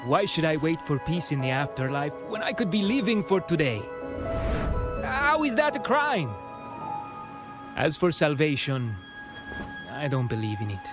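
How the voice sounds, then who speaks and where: An aggrieved, defiant merchant who has lost everything for a video game. His voice is slightly high and emphatic with bitterness. Music runs clearly behind the speech. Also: very animated, a little slow, long pauses. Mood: bitter, defiant. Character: embittered, defiant ruined male merchant. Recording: background music under the voice.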